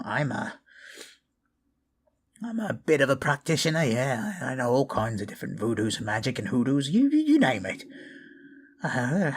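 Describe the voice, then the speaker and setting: A paranoid old goblin shaman played by a man in a fantasy audio drama. His pitch jumps about restlessly as he stammers and hedges his way through sentences, with a sardonic edge. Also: gravelly, slightly rough, heavily acted. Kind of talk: improvised fantasy audio roleplay.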